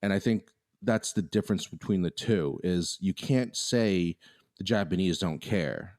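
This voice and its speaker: A man's voice: deep voice, measured pace, a little mellow. A man co-hosting a podcast, talking in a casual, opinionated way with many restarts. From United States. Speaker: podcast co-host.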